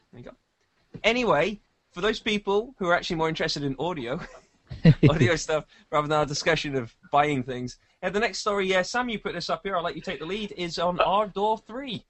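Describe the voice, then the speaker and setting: A man's voice: fairly high pitch, a bit bright, no echo. A man co-hosting a podcast in casual, conversational tech talk. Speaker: podcast co-host.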